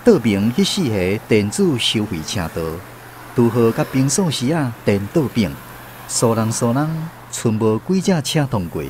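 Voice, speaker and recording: A man's voice: mid-range voice, big pitch swings, moderate pace, a little mellow, a little hiss or hum. A man with a Taiwanese accent narrating a scripted literary radio drama.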